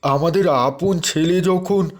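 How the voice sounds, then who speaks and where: A man voicing a kindly elderly village father in a cartoon dub, speaking gently and earnestly. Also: on the high side, slow. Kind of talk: cartoon dubbing.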